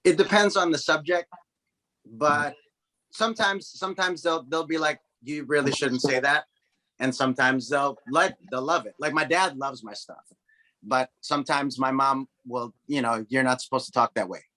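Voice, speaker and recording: A man, a comedian, answering interview questions in a frantic way with many quick restarts. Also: medium pitch, sharp tone, low-quality recording. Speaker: comedian guest.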